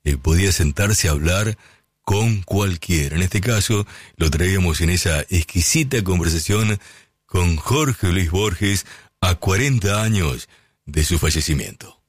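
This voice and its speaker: Male radio host, very low husky voice, lots of ums, dry studio sound. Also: expressive, measured pace, no echo. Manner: warm tribute, many filler sounds. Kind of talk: radio presentation.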